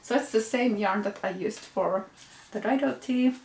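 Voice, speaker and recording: A woman's voice: on the high side, normal speed, a little mellow, echoey room. A Finnish woman with a Finnish accent, a knitting podcast host, chatting casually with frequent fillers and self-corrections. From Finland. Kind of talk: casual show-and-tell chat.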